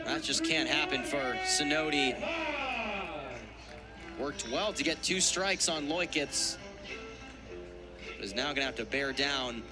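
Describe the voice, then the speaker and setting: A man works as color commentator on a baseball broadcast. His voice is mid-pitched and his phrasing is conversational and reflective as he analyzes the play. Music is clearly audible behind him.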